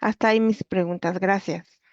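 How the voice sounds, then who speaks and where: Flat, halting woman on dull audio. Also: medium pitch, no room sound. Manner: halting, searching for words. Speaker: audience member asking questions. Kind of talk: question from the audience.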